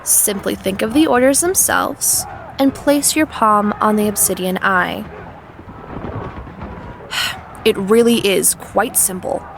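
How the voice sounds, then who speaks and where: Cold dark sorceress, fantasy audio drama woman performer: creaky, slow, condescending, with clear background noise. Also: mid-range voice. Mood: impatient, condescending. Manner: condescending, formal, measured.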